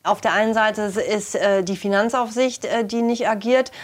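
A woman's voice: medium pitch, fairly even intonation, normal speed. A woman journalist explaining a situation in conversational interview speech, a little hesitant. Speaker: business journalist.

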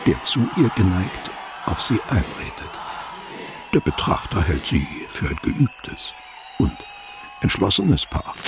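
A man narrating a literary radio play as a sober, observant storyteller with a detached, deliberate delivery. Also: rumbling low, exaggerated voice.

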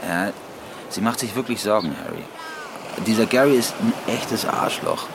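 A level-headed, candid cousin in a radio drama. His very low voice moves expressively as he speaks his mind in a measured way. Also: big pitch swings, creaky, noisy background. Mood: concerned, frank. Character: frank, level-headed cousin, a family man. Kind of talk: naturalistic radio drama acting.

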